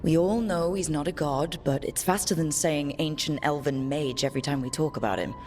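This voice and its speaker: A woman playing a level-headed, decisive party leader in a fantasy game, speaking in a measured, frank way. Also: low voice, a little mellow, close to an everyday voice. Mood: measured, resolute. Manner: measured, frank, matter-of-fact. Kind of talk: video game cutscene dialogue. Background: prominent game soundtrack music.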